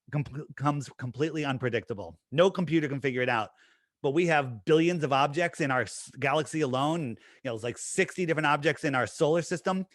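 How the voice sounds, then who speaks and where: A man's voice: fairly high pitch, a bit bright, dry recording, studio quality. A man being interviewed in a casual conversation, talking emphatically and piling up rhetorical questions. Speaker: interview guest.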